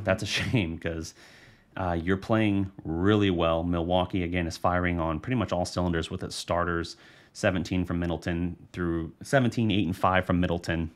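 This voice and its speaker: An American man with a low-pitched voice hosts a postgame sports breakdown. He talks off the cuff in a casual, rambling flow, close to a studio mic in a small room. He sounds like he is in his thirties. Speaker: sports show host and analyst. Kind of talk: casual unscripted basketball postgame commentary. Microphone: studio microphone on a boom arm.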